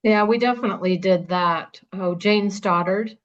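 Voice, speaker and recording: A woman's voice: medium pitch, normal speed. A woman in her fifties, a podcast guest, casually telling old school stories with frequent laughter. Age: 50s. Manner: chatty, laughs often, storytelling. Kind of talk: casual reminiscing chat. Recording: dull lo-fi sound.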